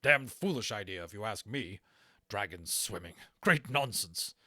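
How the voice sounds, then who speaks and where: A man playing a gruff, blustering captain who grumbles in clipped phrases, in a storybook reading. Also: lowish voice, animated, a little slow, bright, forceful tone, dry recording, clean studio sound, heavily acted. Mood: grumbling. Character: gruff, grumbling, secretly fond male dragon captain.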